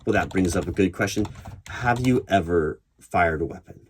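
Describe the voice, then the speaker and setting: A man playing a human resources interviewer in an ASMR roleplay, speaking in a measured, probing way with deliberate pauses. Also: sits low, a little hiss or hum. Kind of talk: ASMR roleplay, one-sided job interview. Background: computer keyboard typing.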